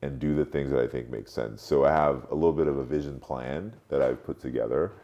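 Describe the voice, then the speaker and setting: A man in his late forties who grew up in Europe, speaking reflectively as an interview guest, haltingly, thinking aloud and repeating words. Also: rumbling low, warm tone, muffled recording. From Europe, mostly France, born in Cameroon. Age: late 40s. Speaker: podcast guest, marketing strategist.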